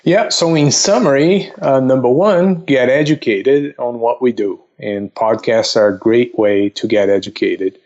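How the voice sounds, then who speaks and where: A man explaining things as a podcast guest in a methodical, polite, somewhat halting way. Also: medium pitch, a little mellow, lo-fi sound. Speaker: investment counselor, podcast guest. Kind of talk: casual explanatory podcast talk.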